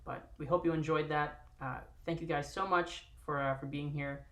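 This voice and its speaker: A woman's voice: low voice, steady tone, normal speed. Echoey woman hosting a livestream. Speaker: host of an online table read. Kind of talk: casual livestream sign-off.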